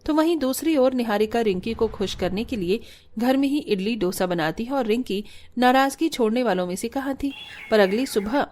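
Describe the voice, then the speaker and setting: A woman reading scripted narration for an animated family story. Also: fairly high pitch, normal speed.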